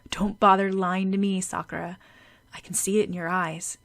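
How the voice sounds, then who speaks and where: A woman voicing a suave, teasing teenage boy with a smooth, breathy delivery in an audiobook. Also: medium pitch, little pitch movement, moderate pace, a bit bright, lightly acted. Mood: soft persuasion.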